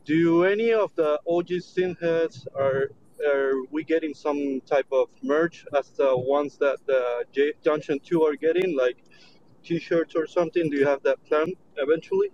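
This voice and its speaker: Guy calling in with a question, polite and casual, dull lo-fi audio with clear noise behind him. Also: fairly high pitch, a little slow. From lives in Orlando. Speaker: audience member asking a question. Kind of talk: casual chat. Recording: muffled lo-fi line, clear background noise.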